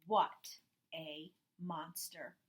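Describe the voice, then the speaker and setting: A woman's voice: sits low, level tone, extremely slow. A woman voicing a grumpy young boy cow, telling a children's storybook in an indignant, dramatic way. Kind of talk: first-person children's storybook narration.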